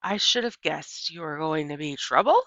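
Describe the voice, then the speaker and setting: A gentle preacher dad, voiced by a woman reader, reflective and measured as in a storybook. The delivery is bright and very expressive in pitch, recorded close and dry with a slightly muffled finish. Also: no room sound. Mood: resigned. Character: gentle, thoughtful preacher father recalling his wife. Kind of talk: children's audiobook character voice.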